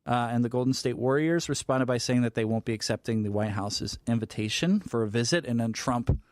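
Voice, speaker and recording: A man's voice: mid-range voice, fairly quick, darkish tone, no echo. A man co-hosting a casual talk podcast, laughing easily and reading news quotes aloud. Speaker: podcast co-host. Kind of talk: casual chat.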